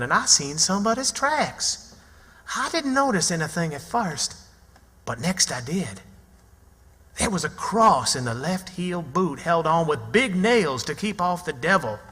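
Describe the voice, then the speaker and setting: A man's voice: on the high side, sharp tone. An actor playing a plucky, plain-spoken backwoods boy in his early teens, with a rural Southern American accent and folksy grammar, in radio drama style. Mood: wry, uneasy. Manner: folksy, ungrammatical storytelling. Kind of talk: theatrical radio drama acting and narration. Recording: long hall reverb.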